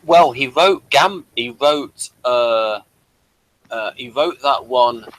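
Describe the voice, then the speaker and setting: Man, forceful bright voice, casual review co-host, halting delivery. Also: mid-range voice, low-quality recording. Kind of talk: casual TV episode review discussion.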